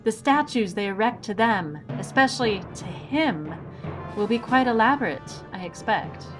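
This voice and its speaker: A woman's voice: on the high side, expressive, moderate pace, music in the background, natural voice. A woman playing a courteous, unruffled swashbuckling heroine with a wry, philosophical manner in an audio drama. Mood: wryly musing. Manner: polite, unruffled, wryly philosophical. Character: composed, courteous, witty female masked swashbuckling heroine.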